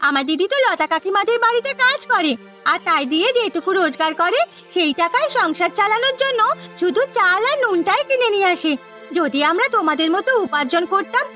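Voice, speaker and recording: A woman's voice: very high-pitched, animated, a little fast, bright, forceful tone, faint music behind, exaggerated voice. A woman voicing an eager, outspoken young village girl with a thin, childlike voice in a cartoon story. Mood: defiant, hurt. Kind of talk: Bengali cartoon story voice acting.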